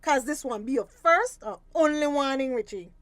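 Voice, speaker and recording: An actress playing a firm, reproving fisheries officer with a soft spot, lecturing in a Belizean Creole accent in a radio drama. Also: female voice, high-pitched, expressive, normal speed, no room sound. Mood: firm, exasperated. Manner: stern, admonishing, lecturing.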